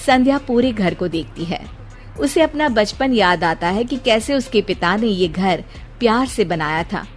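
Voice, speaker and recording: A woman's voice: medium pitch, expressive, unhurried. A woman reading scripted narration for a moral cartoon story as the storyteller. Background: music.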